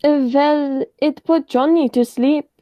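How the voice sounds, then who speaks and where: A woman's voice: sits high, steady tone, takes it slowly, lo-fi sound. A young woman playing a nervous, squeamish doctor sidekick in a radio play. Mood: panicky.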